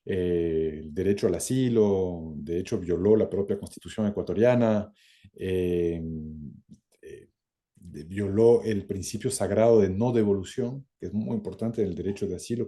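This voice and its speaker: Male interview guest, middle-aged, low and very dark voice, halting, reflective delivery. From born in France, lived some twenty years in Ecuador. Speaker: interview guest, academic and former government minister.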